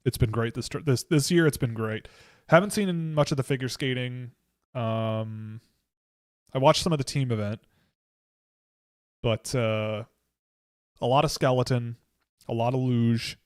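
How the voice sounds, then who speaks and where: A young man hosting a livestream, rambling excitably with frequent restarts. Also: medium pitch, unhurried, pauses often. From Canada. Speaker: livestream host. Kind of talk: casual livestream chat.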